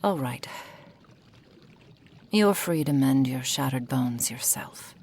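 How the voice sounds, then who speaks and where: A woman's voice: very deep voice, animated, takes it slowly, darkish tone, husky, full character voice. A woman performing an ASMR roleplay as a menacing, possessive fox-spirit witch, cruelly affectionate and condescending, often dropping into whispers. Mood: menacing, falsely tender.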